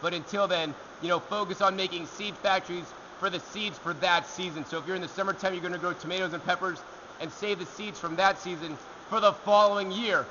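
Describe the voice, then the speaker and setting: A young man hosting a gardening show, answering viewer questions to camera outdoors in a rock alcove, energetic and gesturing as he talks. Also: high-pitched, a little fast, highs cut off, background noise. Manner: energetic, talks with his hands.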